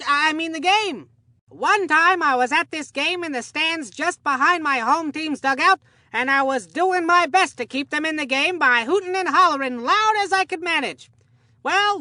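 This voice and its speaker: A woman's voice: sits high, bright, forceful tone, no room sound, studio quality. A woman voicing an enthusiastic, chatty boy baseball commentator in a cartoon video game, telling things in a folksy, earnest way. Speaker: sports commentator.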